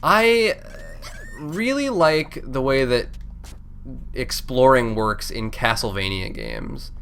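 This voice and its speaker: A man's voice: medium pitch, expressive, slow pace, fairly bright tone, slight background noise. A man with a congested, stuffed-up voice giving casual, rambling video game commentary, often interrupting himself and swearing freely. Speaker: let's play host. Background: video game music.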